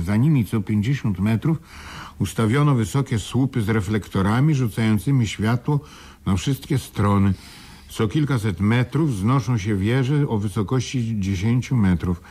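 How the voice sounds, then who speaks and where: A man's voice: mid-range voice, moderate pace, darkish tone, husky, natural voice. An actor playing a self-justifying businessman in a radio play, articulate and theatrical. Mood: reading aloud. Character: self-justifying businessman, male former mine shareholder. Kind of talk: 1980s Polish radio play acting.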